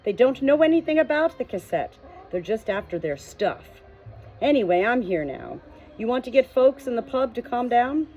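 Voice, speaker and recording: A woman's voice: a little high, big pitch swings, moderate pace, a little mellow, husky, muffled recording, voice pushed up. A woman as a curt, self-assured male shepherd. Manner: curt, commanding, unruffled. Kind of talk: audiobook character dialogue.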